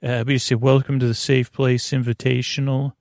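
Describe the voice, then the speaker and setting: A man hosting a bedtime podcast in a rambling, halting monologue, with a creaky voice, often repeating himself and trailing off. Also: medium pitch, little pitch movement, darkish tone, no room sound, studio quality. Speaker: podcast host.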